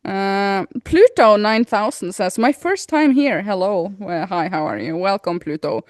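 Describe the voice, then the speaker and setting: A woman co-hosts a casual podcast, her voice jumping up and down with lively energy as she chats and reads out comments. The sound is dry and close, with no room around it. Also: mid-range voice, unhurried, dry recording. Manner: animated, laughs often, reads listener comments aloud. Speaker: podcast co-host. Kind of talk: casual chat.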